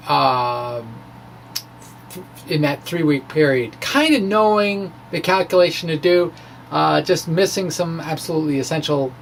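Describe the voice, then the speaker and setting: A man with a somewhat high-pitched voice talks through technical material like an informal blogger. He is halting and rambling, slipping into colloquial, wry asides. Clear background noise sits behind his voice. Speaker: amateur physics blogger presenting his work. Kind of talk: informal spoken physics explanation, dramatic reading of his blog.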